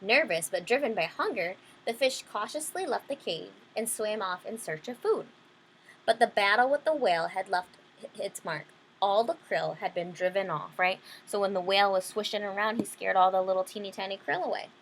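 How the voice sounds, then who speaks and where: A woman's voice: medium pitch, normal speed, slight background noise, rough recording. A woman reading a children's storybook aloud, adding simple explanations for young listeners. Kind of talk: children's picture-book reading with simple asides.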